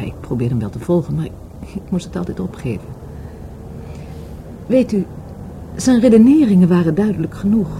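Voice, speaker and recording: An actress playing a wistful, grieving lover in a radio play, hesitant and halting as she searches for words. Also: fairly low pitch, noisy background. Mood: wistful, searching. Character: grieving, wistful lover of a murdered market trader. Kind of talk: Dutch radio play acting.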